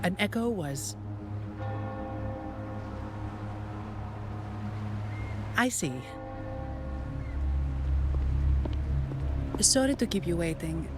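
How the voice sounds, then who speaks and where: Woman doing a polite composed young guide lady from a game, lowish voice, formal, music behind. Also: expressive, fairly quick, natural voice. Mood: apologetic, polite. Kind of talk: video game cutscene acting.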